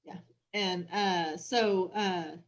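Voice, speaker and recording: A woman's voice: on the low side, fairly even intonation, extremely slow, lo-fi sound. A woman chatting casually as an interview guest, hesitant with many restarts. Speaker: visiting queen, interview guest. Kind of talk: casual chat.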